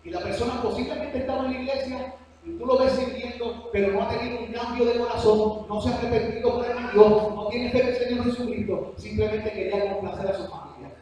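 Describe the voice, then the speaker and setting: A man with a Cuban accent preaching a sermon to a church class, earnest and slow on his emphatic phrases. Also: very high-pitched, fairly even intonation, darkish tone. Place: church hall. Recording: echoey hall from far off.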